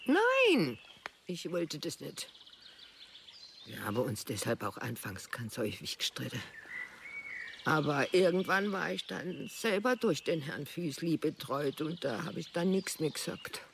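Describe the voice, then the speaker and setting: An actress playing an agitated, evasive old lady in a radio drama, defensive and halting in her way of talking. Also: sits low, very animated, gravelly, exaggerated voice. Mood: defensive, reluctant. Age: older. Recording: faint outdoor nature noise.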